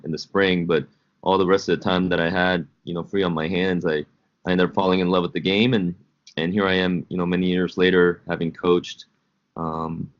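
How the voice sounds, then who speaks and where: Very deep voiced coach guy from south korea, 40s, talks slow and reflective, lots of restarts, muffled lo-fi line. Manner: halting, reflective, with many repeats and fillers. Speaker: high school basketball coach, guest. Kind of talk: casual interview answers.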